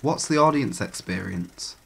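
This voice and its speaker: A man's voice: on the low side, expressive, measured pace, vocal fry, a little hiss or hum. A man reading scripted narration as the narrator of a documentary.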